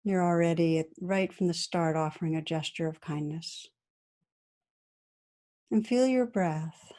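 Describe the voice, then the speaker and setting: A woman meditation teacher guiding a practice in a gentle, soothing, unhurried manner with long pauses between phrases. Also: lowish voice. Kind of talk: guided meditation.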